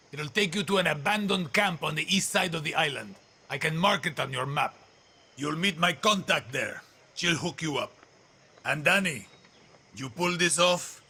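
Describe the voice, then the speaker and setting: A man's voice: a little high, vocal fry, pushed and bright, husky, exaggerated voice. An actor playing a theatrical, boastful older guerrilla mentor who jokes his way through orders in a video game cutscene. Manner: showy, joking, mock dialogue. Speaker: guerrilla contact giving orders.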